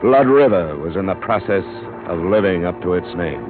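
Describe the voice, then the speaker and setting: A terse hard-boiled investigator. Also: male voice, deep voice, lively intonation, moderate pace. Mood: grim. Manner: terse first-person narration. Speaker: insurance investigator narrator. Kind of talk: 1950s radio drama narration. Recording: tinny old radio broadcast with music.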